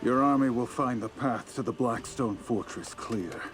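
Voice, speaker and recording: A man acting a resolute, respectful military ally in a video game, with a measured, formal delivery. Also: medium pitch, lightly acted. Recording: music behind the voice. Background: music.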